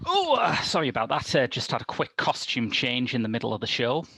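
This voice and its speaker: A man's voice: medium pitch, wildly swooping pitch, a little fast, creaky, bright, forceful tone, husky, no echo. A man in his thirties talking as a film podcast host, articulate and wry, in conversational review style on a video call. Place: small domestic room, indoors.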